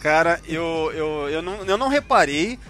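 A man's voice: on the high side, expressive, normal speed, a bit bright, soft background music. A man, co-hosting a podcast in loose, joking chat full of restarts and slang. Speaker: podcast co-host. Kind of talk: casual chat.

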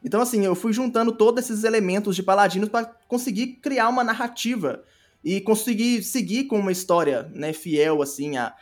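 A man's voice: high-pitched, a little fast, faint music behind. A Brazilian man speaking casually as an interview guest, explaining in a rambling way and often rephrasing himself. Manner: rambling, self-correcting, repeats phrases. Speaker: podcast guest, audio drama creator.

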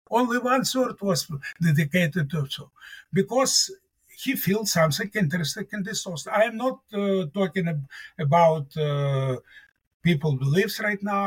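A man's voice: high voice, breathy edge. A middle-aged man whose first language is Russian, a mathematics professor speaking as an interview guest in an earnest, emphatic way, often repeating his key words.

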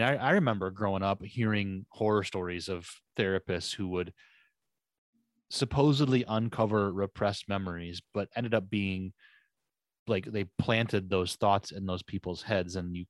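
A man's voice: fairly low pitch, dry recording. A man co-hosting a podcast who rambles and thinks aloud with many restarts. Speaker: podcast co-host. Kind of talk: casual conversational chat.

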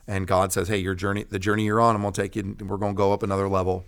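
Low-voiced, emphatic American preacher man. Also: flat delivery, racing, clear, resonant tone. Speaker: pastor guest telling his testimony. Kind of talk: personal testimony in an interview.

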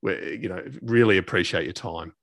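Low, dry-miked male podcast host. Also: normal speed, fairly bright tone, no room sound. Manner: effusive, appreciative. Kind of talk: casual, conversational interview hosting.

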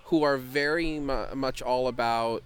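Imagine a man guesting on a casual podcast. His voice sits at a plain middle pitch. He delivers dry, deadpan remarks and cuts in often with probing questions. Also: unhurried, clean tone. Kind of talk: casual chat.

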